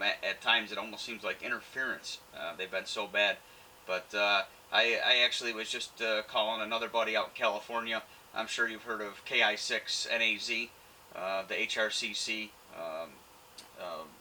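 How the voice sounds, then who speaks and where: Very bright, low-pitched male radio operator. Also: fairly even intonation, moderate pace, a little hiss or hum, low-quality recording. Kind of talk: casual ham radio contact.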